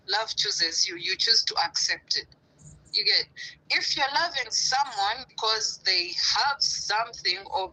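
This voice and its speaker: A woman from Uganda speaking earnestly as a guest on a live stream, swooping up in pitch for emphasis. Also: sharp tone, breathy edge, low-quality recording. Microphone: live stream call.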